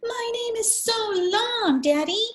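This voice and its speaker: A woman voicing a little girl from a picture book who is unhappy about her long name, read aloud in a gently expressive way. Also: very high-pitched, slow, voice pushed up, full character voice. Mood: wistful.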